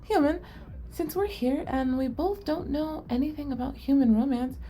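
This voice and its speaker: A woman's voice: sits high, moderate pace, warm tone, close to an everyday voice. A woman playing a shy, curious, nerdy young goblin girl in an ASMR roleplay, speaking earnestly and expressively to the listener. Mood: curious, excited.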